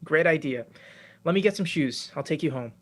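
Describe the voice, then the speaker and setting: An awkward young science student. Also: male voice, on the high side, expressive, moderate pace. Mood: dry. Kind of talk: radio play script reading.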